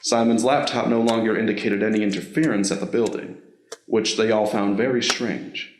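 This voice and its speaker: A man narrates a scripted story in a low voice. The recording is roomy, with clear echo around his words. He reads as the narrator of an audio drama. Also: fairly even intonation, moderate pace, slight background noise.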